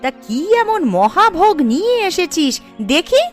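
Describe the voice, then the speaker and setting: Shrill, scolding cartoon aunt. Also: female voice, sing-song, measured pace, soft background music, heavily acted. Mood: angry, sarcastic. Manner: sharp-tongued, sarcastic, bossy. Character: scheming, scolding middle-aged village aunt, doting mother. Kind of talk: Bengali cartoon voice acting.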